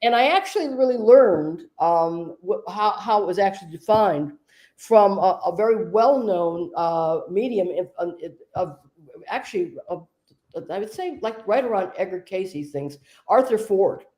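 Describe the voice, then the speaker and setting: A woman hosting a conversation, a medium telling stories in a relaxed, discursive way. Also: medium pitch, unhurried. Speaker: host and trance medium. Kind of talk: casual conversation.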